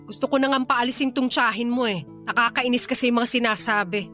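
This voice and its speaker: Loyal, fed-up Filipino mother for radio drama, woman actor: high-pitched, sharp, emphatic reproach. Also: quick pace, natural voice. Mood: weary annoyance. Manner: scolding, firm, emphatic. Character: exasperated, loyal middle-aged wife and mother. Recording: music behind the voice.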